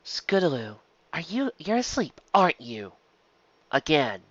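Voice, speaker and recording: A grown-up pony schoolmistress, played by a woman, patient with a stern edge. She questions and explains in a measured, lively swinging intonation, in a dry storybook reading. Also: low-pitched, dry recording, lo-fi sound, exaggerated voice. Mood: gently stern.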